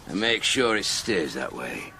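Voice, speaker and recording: Surly outlaw for radio play: gravelly, slow, wildly swinging pitch, threatening. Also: male voice, big pitch swings, bright, forceful tone, slight background noise, exaggerated voice. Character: surly, menacing male outlaw guard who likes drink.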